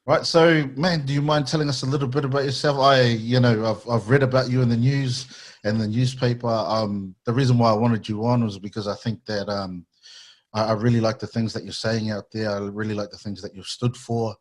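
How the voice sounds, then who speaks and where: A man hosting a podcast, asking questions in a friendly, relaxed way with casual slang. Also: mid-range voice, a little fast. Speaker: podcast host.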